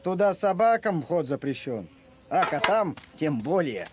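A man voicing an eager, boastful, simple-minded talking dog in a children's cartoon, excitable and naive. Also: fairly high pitch, a little slow, noisy background. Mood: teasing. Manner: excitable, naive, mixes up words. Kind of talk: animated children's cartoon acting. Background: music.

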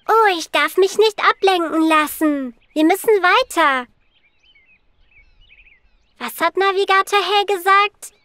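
A woman's voice: very high voice, bright, forceful tone, dry recording, exaggerated voice. A woman voicing a curious, caring little girl who wonders aloud expressively in a German children's cartoon.

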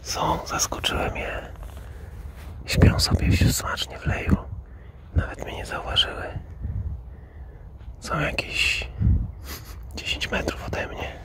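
A man narrating as he walks through a snowy park, often dropping to a hushed whisper as he sneaks up on animals, recorded on the camera's mic. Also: slow pace, pauses often. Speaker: nature vlogger stalking wild boar. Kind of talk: first-person outdoor narration while walking. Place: snowy park outdoors in winter. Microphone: handheld camera mic, filming point of view.

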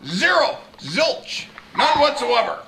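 A man's voice: high-pitched, animated, normal speed, vocal fry, over a noisy crowd. A man voicing a wisecracking baby girl doing an improvised stand-up monologue in the manner of an old-school nightclub comic. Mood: amused, exasperated. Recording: noisy amateur home video with clicks.

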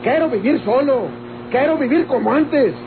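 An actor playing a resentful, proud young rancher brother in an old radio drama, with a Mexican accent. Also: male voice, extremely high pitch, normal speed. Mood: defiant. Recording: tinny, noisy old radio drama recording.

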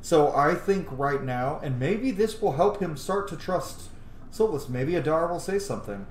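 Male game streamer, lively intonation, casual sweary roleplay commentary, roomy echo. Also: on the high side, normal speed, a little hiss or hum. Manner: sweary, thinks aloud. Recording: echoey room.

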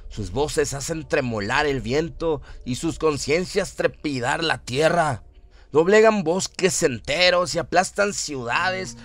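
A man giving a solemn, theatrical narration of a horror radio drama, with deliberate phrasing. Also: fairly high pitch, a bit bright. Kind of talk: dramatic scripted horror narration, audiobook-like reading. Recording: music under the voice. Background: atmospheric music bed.

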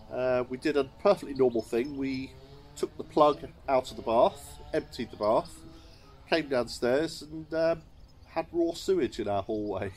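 A man's voice: medium pitch, a little mellow. A middle-aged English man, a chateau owner, telling a wry, understated story to camera while seated in a sunny garden courtyard. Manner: wry, understated, chuckling at his own mishaps.

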